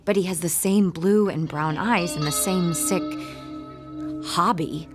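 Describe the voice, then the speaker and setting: Low-voiced earnest young woman, troubled actress's performance. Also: unhurried, music in the background, natural voice. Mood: earnest, probing. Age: young adult. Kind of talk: audio drama acting, naturalistic dialogue.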